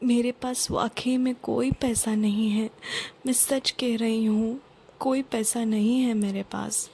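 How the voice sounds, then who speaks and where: A woman's voice: a little high, fairly even intonation, slow, mellow, husky, full character voice. A woman playing a long-suffering poor mother, pleading and tearful, in a children's cartoon. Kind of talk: Hindi children's cartoon dub.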